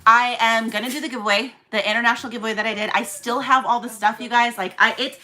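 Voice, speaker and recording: A woman's voice: fairly high pitch, fairly even intonation, fast, sharp tone, a little hiss or hum. A woman with a New Zealand accent chatting casually on a livestream as a seller and collector group admin, rambling with lots of fillers and restarts.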